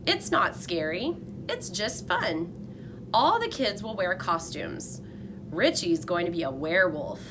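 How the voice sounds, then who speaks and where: A woman's voice: lowish voice, sing-song, slow pace, background noise. A woman voicing a friendly male school janitor with a jovial, teasing manner in a children's storybook.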